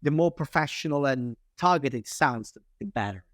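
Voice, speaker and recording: A man with an Italian accent, a native Italian speaker, explaining sales calls as a guest in a casual interview, animated and quick with many restarts. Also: mid-range voice, dry recording, clean studio sound. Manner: animated, talks fast with frequent restarts.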